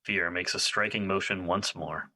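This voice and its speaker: A man narrates an audio drama's stage directions from a script. His voice is very low, with a strikingly bright, sharp edge. The sound is close and dry. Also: fairly even intonation, moderate pace, dry recording.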